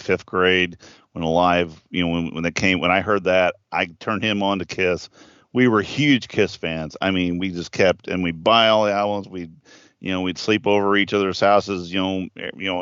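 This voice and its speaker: A man in his fifties with a fairly low voice talks casually on a podcast, rambling and cursing freely. The sound is dry and close, with a dull, lo-fi tone. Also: fairly bright tone, clear, resonant tone, no echo. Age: 50s. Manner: rambling, profane, frequent restarts. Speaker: podcast co-host. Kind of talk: casual chat.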